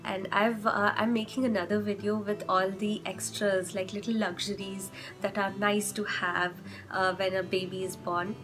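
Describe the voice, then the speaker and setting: A young woman vlogging with chatty parenting advice, her voice hoarse from a cold, often stopping to rephrase with fillers. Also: mid-range voice, flat delivery, normal speed, music in the background. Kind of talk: casual advice vlog.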